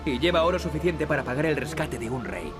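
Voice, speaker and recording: An actor with a Castilian Spanish accent playing a cocky, sarcastic young adventurer in a video game. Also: male voice, on the high side, expressive, quick pace, music in the background, lightly acted. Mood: wry, glib. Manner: sarcastic, glib, exclamatory.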